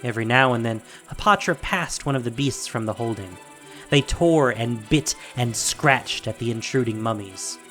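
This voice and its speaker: A man's voice: mid-range voice, normal speed, pushed and bright, faint music behind. A man narrating a scripted fantasy audio drama in a dramatic storytelling style.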